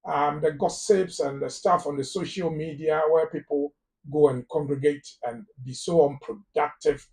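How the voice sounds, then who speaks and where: A man's voice: a little high, steady tone, moderate pace, vocal fry, a little mellow, distant mic. Emphatic Ghanaian man, a little off-mic. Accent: Ghanaian English. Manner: emphatic, repeats words for stress. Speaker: immigration lawyer guest. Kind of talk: live phone-in advice talk.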